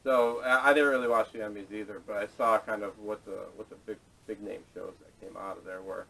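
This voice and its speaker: Man, low voice, podcast host, halting phrasing, casual discussion, far from the camera mic. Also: fairly even intonation, vocal fry, a bit bright. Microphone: distant camera microphone. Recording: distant, salvaged camera audio.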